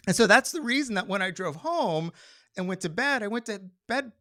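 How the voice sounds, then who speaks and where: Male live storyteller, slightly high register, very lively intonation, conversational delivery with a comic sense of timing. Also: fairly quick. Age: adult. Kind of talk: live personal storytelling on stage.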